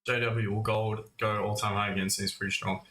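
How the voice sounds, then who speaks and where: Bright-voiced man livestreaming in a roomy space. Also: on the low side, level tone, a little fast. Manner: conversational, addressing viewers as mate and guys. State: recovering from cough and lost voice. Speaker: host of a live trading stream. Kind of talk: casual livestream market commentary. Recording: roomy echo, faint music.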